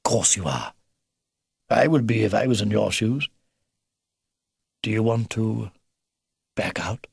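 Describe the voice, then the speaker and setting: A man voicing a kindly, excitable old grandfather beaver with a hearty, old-fashioned military manner, in a storybook reading. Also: fairly low pitch, slow pace, gravelly, pauses often, husky, no echo, studio quality, full character voice. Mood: grave, gentle. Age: older.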